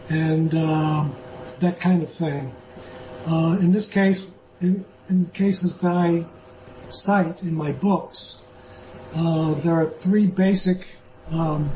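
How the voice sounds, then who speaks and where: A man being interviewed as a guest author, speaking in a measured, hesitant way with many pauses. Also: sits high, darkish tone, highs cut off, slight background noise. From born in Austria, lives in the US. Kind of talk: interview answers.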